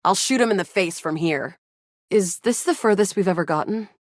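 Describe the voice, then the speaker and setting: A woman voicing a feisty, excitable young resistance fighter in a sci-fi video game, with a dramatic, playful delivery. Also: medium pitch, moderate pace, no room sound, studio quality, natural voice. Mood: brisk, playful. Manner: animated, dramatic, playful banter. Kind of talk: video game character voice acting.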